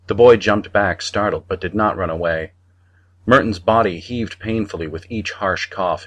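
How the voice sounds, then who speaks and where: Deep-voiced male audiobook narrator. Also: fairly bright tone. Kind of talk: scripted fiction narration.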